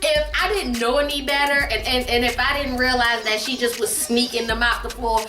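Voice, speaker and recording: A woman's voice: on the high side, steady tone, fairly quick, sharp tone. A middle-aged woman telling a funny story casually, emphatic and repeating phrases for comic effect. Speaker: guest mother telling a family story on her daughter's vlog. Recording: roomy echo, distant mic, faint music.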